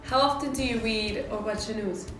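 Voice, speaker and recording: A woman's voice: on the high side, moderate pace. Upbeat woman interviewer, echoey hall. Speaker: student playing a survey reporter. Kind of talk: scripted mock news interview. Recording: echoey hall from far off, music behind.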